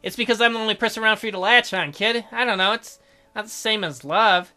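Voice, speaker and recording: A man voicing a gentle, flustered doctor in a visual novel, stammering and hesitant as he talks. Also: high voice, quick pace, soft background music. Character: gentle, flustered male doctor, self-described old man.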